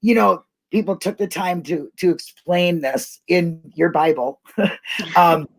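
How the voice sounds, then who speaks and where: A woman's voice: on the low side, takes it slowly. A middle-aged woman hosting a casual live online discussion, chatting in a colloquial, rambling way. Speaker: host of an online talk show.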